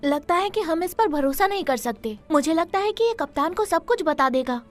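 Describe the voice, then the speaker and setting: Little cartoon girl: very high, swooping, outspoken and spirited. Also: expressive, moderate pace, faint music behind. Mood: wary. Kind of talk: Hindi cartoon dub acting.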